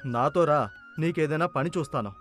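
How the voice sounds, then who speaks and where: A man's voice: on the high side, moderate pace, fairly bright tone, faint music behind, natural voice. A man playing a greedy, arrogant elder brother in a village moral story, with a brash, insistent manner. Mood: scornful.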